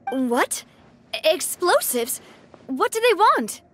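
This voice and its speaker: A woman voicing a warm, responsible young female leader in a video game. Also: very high voice, very animated, slow pace, slight background noise, exaggerated voice. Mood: alarmed, grave.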